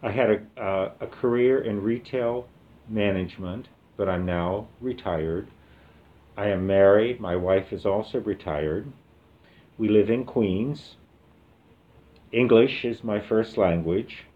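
A retired man in his seventies from the American Midwest, reminiscing slowly in an oral history interview with many pauses and fillers. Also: on the low side, muffled recording. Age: 70s. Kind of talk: relaxed reminiscing with reading aloud.

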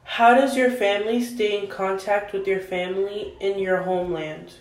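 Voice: mid-range voice, steady tone, normal speed. A young interviewer asking questions hesitantly, with many ums. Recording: echoey room.

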